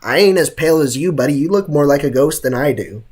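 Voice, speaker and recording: A man's voice: fairly high pitch, expressive, quick pace, a little mellow. A young man giving casual, slangy game commentary and joking with his livestream chat. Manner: slangy, jokey, theatrical outbursts.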